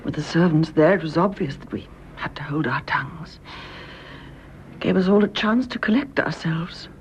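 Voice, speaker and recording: An actress playing an anguished young British wife in a radio drama, speaking in a hesitant, hushed way. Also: female voice, deep voice, normal speed, close to an everyday voice. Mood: subdued, anxious. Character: anguished, secretive young wife, gently well-bred. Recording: dull, noisy radio drama recording.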